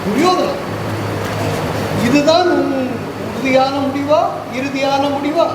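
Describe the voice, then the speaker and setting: Brief: actor as a calm divine envoy, slow and authoritative, high voice in a noisy hall. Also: distant mic. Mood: measured. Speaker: stage actor. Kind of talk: stage play acting. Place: auditorium stage.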